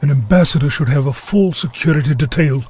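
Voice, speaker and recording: An actor playing a pompous, fretful government ambassador who grumbles gloomily and disdainfully in a comic audio drama. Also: male voice, a little high, brisk, darkish tone, breathy edge. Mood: grumbling, anxious. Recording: music behind the voice.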